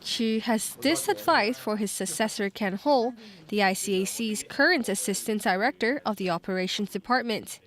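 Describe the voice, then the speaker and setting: A woman's voice: mid-range voice, lively intonation, normal speed, a bit bright. A woman working as a TV news reporter, delivering a scripted news narration.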